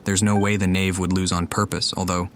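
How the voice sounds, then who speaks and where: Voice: sits low, level tone, fairly quick, a little hiss or hum. A gamer commenting on a video game playthrough, reading along in role and reacting off the cuff. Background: game music.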